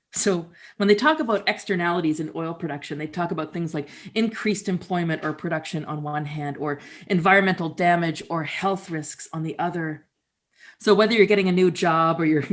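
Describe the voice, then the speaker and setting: A woman with a somewhat low voice gives a dramatic reading. She tells her story in an unhurried, reflective way, and the pacing is measured for performance. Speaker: storyteller and musician. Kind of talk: dramatic reading of documentary theater.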